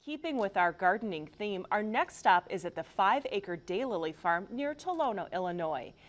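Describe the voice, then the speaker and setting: A woman's voice: mid-range voice, very animated, normal speed, no room sound. A woman reading a scripted documentary narration as the voice of a program.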